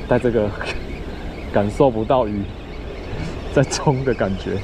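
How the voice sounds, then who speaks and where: A man's voice: lowish voice, slow pace. Chatty Taiwanese man fishing by a river. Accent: Taiwanese Mandarin. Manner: chatty, muttering to himself, bursts of excitement at catches. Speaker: fishing vlogger. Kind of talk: casual self-narrated fishing vlog. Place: outdoors by a shallow river. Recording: outdoor noise on the mic.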